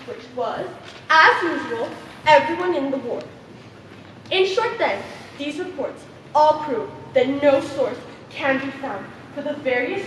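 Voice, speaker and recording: A woman's voice: sits high, bright, forceful tone, exaggerated voice. A woman acting in a stage play as one of the women at a ward meeting. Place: theater stage, far from the mic. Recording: echoey hall from far off, faint noise.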